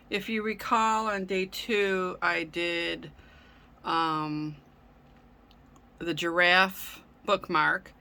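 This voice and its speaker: A woman hosting a casual craft tutorial, speaking gently with frequent ums, her voice a little raspy from a sore throat. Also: fairly low pitch. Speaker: craft channel host.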